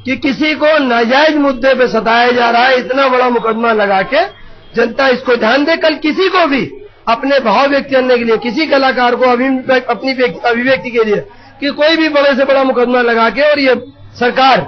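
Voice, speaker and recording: Male TV guest, strikingly high pitch, rambling earnest complaint, dull remote sound. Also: normal speed. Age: middle-aged. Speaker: panel guest. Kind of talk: live TV interview answer. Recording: dull, muffled remote TV audio.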